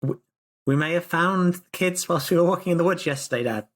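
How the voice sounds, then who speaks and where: A man's voice: on the high side, fairly quick. A man playing in a tabletop game, speaking as his character with hesitant, tumbling explanations. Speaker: player.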